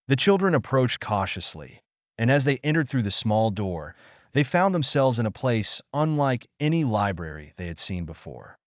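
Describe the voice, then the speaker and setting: Animated male children's storyteller, close and dry. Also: medium pitch, moderate pace, no echo. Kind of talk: scripted children's bedtime story narration.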